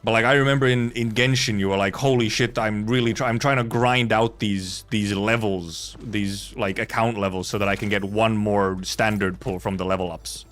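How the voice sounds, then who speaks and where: A man's voice: fairly low pitch, steady tone, moderate pace, hardly any pauses, fairly bright tone, soft background music. A man livestreaming a game, chatting casually in a rambling, blunt, sweary way. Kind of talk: casual gaming stream chat.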